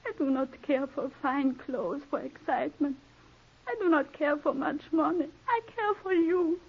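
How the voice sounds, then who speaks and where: A woman's voice: sits high, moderate pace, gravelly. An actress playing a devoted young foreign woman in love, earnest and pleading, in a 1940s radio drama. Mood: tearful devotion. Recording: dull 1940s radio broadcast recording.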